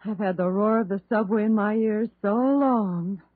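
A woman's voice: medium pitch, normal speed, no echo, close to an everyday voice. An actress playing a sensible, prim young woman in a 1930s radio drama, earnest and given to explaining. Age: young adult. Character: sensible, prim young woman, scolding but fond of nature. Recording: tinny, muffled 1930s radio recording.

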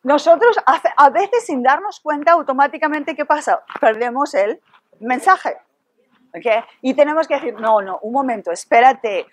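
A middle-aged woman presenting a lecture in a classroom, animated, asking the room rhetorical questions and tossing in "ok" and "right" as fillers. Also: sits high, normal speed, no room sound. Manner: animated, gestures a lot, sprinkles English fillers like "ok" and "right"; asks the audience rhetorical questions. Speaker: workshop presenter. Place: classroom with projection screen. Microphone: room camera at a distance.

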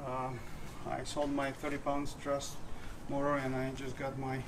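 A man giving an unscripted casual walkthrough as a vlogger, halting and hesitant, often pausing mid-sentence. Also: mid-range voice, monotone. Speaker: vlogger showing his gear. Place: outdoors in a residential driveway. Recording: clear outdoor ambient noise.

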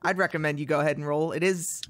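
Casual, joking voice of middling pitch. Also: steady tone, fast talker, no echo. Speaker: member of a comic dub cast.

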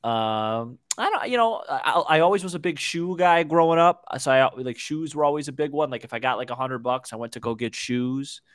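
A man in his twenties co-hosting a casual sports podcast, talking baseball in a halting way with repeated words. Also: medium pitch, clear, resonant tone, no echo, clean studio sound. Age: 20s. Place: small indoor room, video call. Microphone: desk microphone, close.

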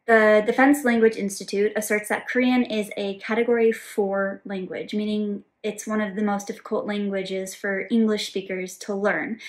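A woman in her thirties, the resident polyglot, answering viewer questions in a clear, explanatory way from a home office. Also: mid-range voice. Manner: clear, explanatory, gestures with both hands. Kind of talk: scripted Q&A to camera. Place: home office with bookshelves and world map, indoors.

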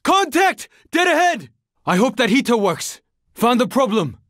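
A man's voice: very high voice, big pitch swings, a little slow, fairly bright tone, no room sound, clean studio sound, exaggerated voice. A man voicing a young survivor in a zombie video game, terse, earnest and urgent. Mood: urgent alarm. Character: young Japanese male survivor fighting a zombie horde, urgent, determined. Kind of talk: video game voice lines, dramatic combat barks.

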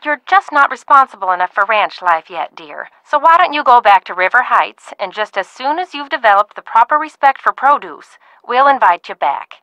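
Fussy ranch auntie for a video game, sweet but reproachful, animated, dry close sound. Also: female voice, on the high side, moderate pace, hardly any pauses, no room sound, low-quality recording. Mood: disappointed, scolding. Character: fussy, genteel scolding ranch aunt. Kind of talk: game dialogue acting.

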